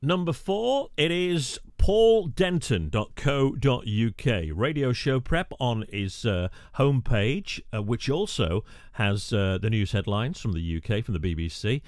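Radio presenter, male, mid-pitched, casual tutorial talk, dry close mic. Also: expressive, unhurried, darkish tone, no echo. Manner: chatty, wry asides, frequent "uh" fillers. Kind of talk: screen-recorded tutorial narration.

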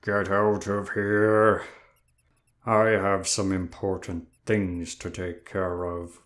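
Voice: sits low, flat delivery, takes it slowly. A performer playing a lazy, bitter, exhausted demon of Sloth in an audio drama, speaking in a weary, self-pitying drawl. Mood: weary, dismissive. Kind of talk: audio roleplay drama acting.

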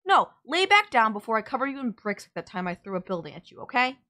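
A woman's voice: on the high side, big pitch swings, quick pace, sharp tone. A woman playing a sardonic yet caring female villain in an audio roleplay, blunt, teasing and exasperated. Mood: exasperated, bossy. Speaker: voice actor. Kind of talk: audio roleplay drama, intimate one-sided dialogue.

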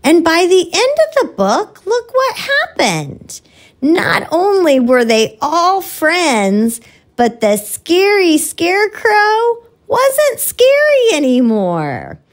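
A woman's voice: very high-pitched, huge pitch swings, takes it slowly, heavily acted. A woman voicing a cheerful, friendly cartoon orangutan who teaches children a Bible lesson with exaggerated enthusiasm. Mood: cheerful, earnest.

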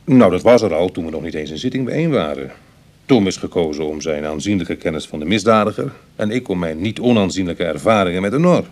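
A man's voice: low-pitched, expressive, fast, darkish tone. An actor playing a self-deprecating ex-burglar in his thirties, talking dryly and colloquially in a Dutch radio play. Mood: uneasy, wry. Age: 30s.

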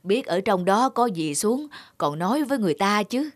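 A woman's voice: mid-range voice, animated, normal speed, lightly acted. Woman playing a fussing middle-aged cartoon mother. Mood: motherly concern. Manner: motherly, advising. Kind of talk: cartoon dub acting.

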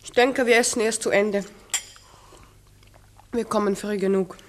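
An actress playing a curious, excitable farm maid in a 1960s radio play. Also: female voice, mid-range voice, unhurried, lightly acted. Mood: excited curiosity. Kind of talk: 1960s German-language radio play acting. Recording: old radio recording, faint noise, tableware clinking.

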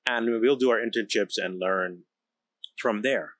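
A man's voice: on the low side, unhurried, fairly bright tone, no echo. Emphatic man from the Bahamas, dry close sound. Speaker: interview guest, company founder and engineer. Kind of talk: candid conversational storytelling. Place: large indoor electronics production floor, seated. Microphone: close podcast microphone on boom arm.

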